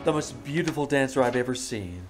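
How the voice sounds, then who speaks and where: An actor playing a kindly, courteous young prince in old-time radio drama style, gentle and a little hesitant in his speech. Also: mid-range voice, lively intonation, fairly quick, loud music behind the voice, natural voice. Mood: admiring. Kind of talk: old-time radio drama acting, live stage performance.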